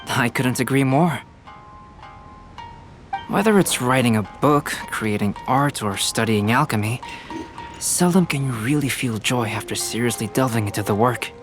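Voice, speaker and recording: A man playing a calm, reserved young scholar in a video game, speaking in a measured, formal, thoughtful way. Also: mid-range voice, music in the background, natural voice. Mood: calm, reflective. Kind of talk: video game character voice acting.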